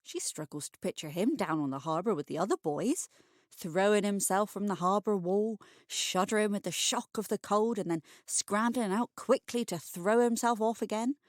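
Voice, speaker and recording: Female narrator, scripted short story, expressive, wry, medium pitch, no room echo. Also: moderate pace, clean studio sound.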